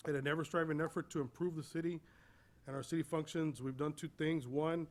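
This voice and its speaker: A man's voice: on the high side, fairly even intonation, brisk. A man speaking as a city official, delivering a report at a public meeting. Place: city commission meeting room.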